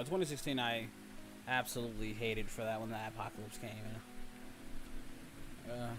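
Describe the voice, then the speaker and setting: A man's voice: mid-range voice, slight background noise. A man hosting a casual livestream, rambling and teasing his viewers in slangy talk. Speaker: livestream host and content creator. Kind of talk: casual livestream chat, reading and answering viewers. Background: music, rain ambience.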